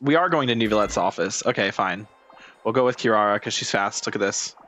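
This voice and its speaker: A man's voice: on the low side, brisk, fairly bright tone. A man doing casual, rambling game commentary as a streamer, blunt and informal with plenty of swearing.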